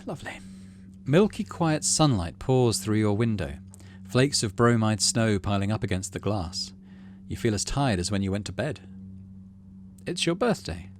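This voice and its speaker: A man's voice: lowish voice, a little hiss or hum. A man hosting a video game playthrough, reading the story text aloud and adding casual commentary. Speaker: gaming channel host.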